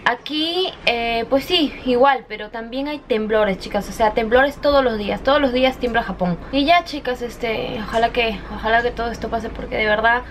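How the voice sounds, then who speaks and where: A woman's voice: fairly high pitch, moderate pace, few pauses. A young woman chats casually and confidingly to her vlog viewers, often addressing them directly, into a camera at arm's length. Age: young adult. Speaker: vlogger. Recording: clear background noise, handheld camera.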